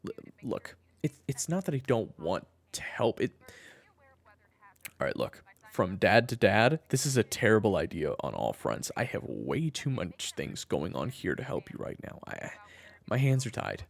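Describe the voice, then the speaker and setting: A man playing a jaded, dryly sarcastic former thief in an audio roleplay, with a deadpan manner. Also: on the low side, moderate pace, gravelly, exaggerated voice. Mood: exasperated. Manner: deadpan, dry humor. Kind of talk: audio roleplay acting, phone conversation.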